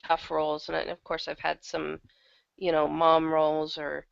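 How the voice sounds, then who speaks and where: A woman's voice: deep voice, monotone, measured pace, gravelly, no echo, dull audio. A woman with a slightly worn, thrashed throat, talking casually and candidly as an interview guest who acts in audio drama.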